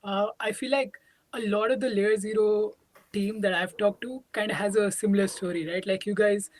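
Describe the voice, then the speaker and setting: A man's voice: sits high, steady tone, normal speed, rough recording. A man hosting a casual interview, peppering his questions with "like". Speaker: podcast host, interviewer.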